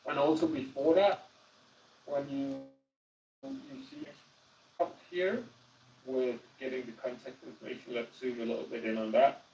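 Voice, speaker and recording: A man with a Danish accent gives an animated, casual walkthrough of AI phone agents on a live stream, often restarting his sentences. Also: medium pitch, unhurried, gravelly, pauses often, distant mic, slight background noise. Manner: animated, explanatory, with many restarts. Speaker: guest explaining AI phone agents. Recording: roomy echo, voice away from the mic.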